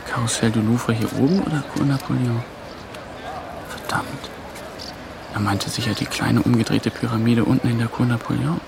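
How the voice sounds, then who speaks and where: A man's voice: mid-range voice, gravelly, noisy background, close to an everyday voice. An actor playing an anxious, impatient man caught up in a conspiracy in a German radio play, with a natural, muttering manner.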